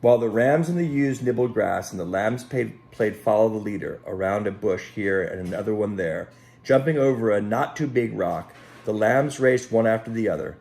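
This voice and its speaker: A man reading a children's story aloud in an expressive, dramatic way, pausing to speak directly to young listeners. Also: on the low side, vocal fry. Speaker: Sunday school storyteller. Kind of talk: animated children's story read aloud with asides. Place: at home.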